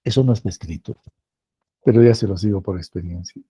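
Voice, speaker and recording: A man with a Peruvian accent giving a lecture as a professor, slow and deliberate, repeating phrases to stress a point. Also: lowish voice, dark tone, husky, no room sound, dull audio. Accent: Peruvian Spanish. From Peru.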